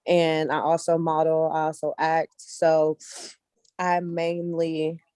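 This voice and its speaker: Mellow, low-voiced woman in casual interview. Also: little pitch movement, slow, smooth, dry recording, studio quality. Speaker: guest casting director, actress and model.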